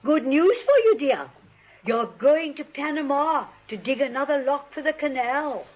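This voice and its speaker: An actress playing a sweet, dotty elderly aunt in a 1940s radio comedy, genteel and fussy, on a vintage recording. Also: female voice, sits high, animated, unhurried, muffled recording. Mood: calling brightly.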